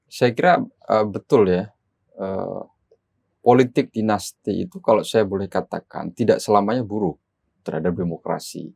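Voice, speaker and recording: A man's voice: on the low side, normal speed, vocal fry, a little mellow, husky, no room sound, clean studio sound. A man giving analytical political commentary as a guest, explaining things in formal, academic vocabulary.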